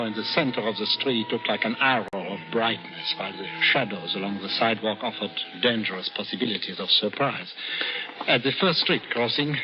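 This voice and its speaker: A man's voice: lowish voice, natural voice. An actor playing an anxious, exasperated man who tells his wartime tale in dramatic old-time radio style. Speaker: narrator and lead character. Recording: noisy, dull old radio recording.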